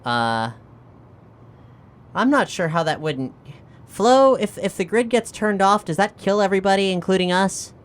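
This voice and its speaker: A woman playing a tabletop role-playing game, improvising casually and thinking aloud in halting phrases. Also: medium pitch, expressive.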